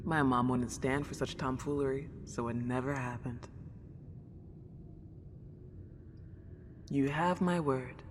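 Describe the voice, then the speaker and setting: A woman voicing a polite, excitable century-old ghost girl in an ASMR roleplay, with old-fashioned genteel wording and gushing wonder. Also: very deep voice, normal speed. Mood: anxious, coy. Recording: long reverb, clear background noise.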